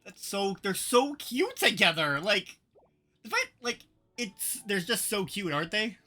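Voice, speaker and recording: A game streamer giving casual live commentary in an expressive, chatty way. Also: medium pitch, measured pace. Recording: game music behind the voice. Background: video game music.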